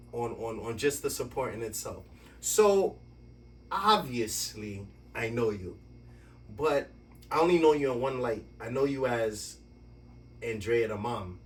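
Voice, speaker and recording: A man hosting a podcast from a basement home studio, giving lively, playful introductions and questions. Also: medium pitch, moderate pace, far from the mic. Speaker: podcast host. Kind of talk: podcast interview.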